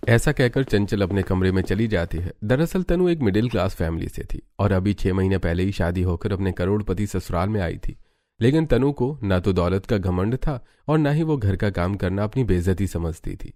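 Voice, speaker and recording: A man's voice: low-pitched, lively intonation, moderate pace, warm tone, no room sound, clean studio sound. A man narrating a scripted cartoon family story as the storyteller. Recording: music behind the voice.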